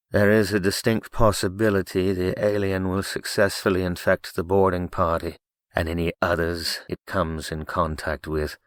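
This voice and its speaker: Man playing a low, coldly logical android. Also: no echo, clean studio sound, natural voice. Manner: measured, detached, formal. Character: calm, clinical male android science officer, coldly logical. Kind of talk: audiobook character acting.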